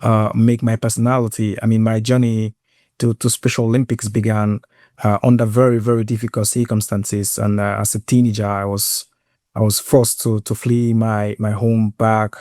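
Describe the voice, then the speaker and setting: Man with a Cameroonian accent, halting delivery. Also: fairly low pitch, flat delivery, warm tone, no room sound, studio quality. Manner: earnest, halting with repeated words. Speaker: guest, sports inclusion program lead. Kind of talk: personal storytelling in an interview.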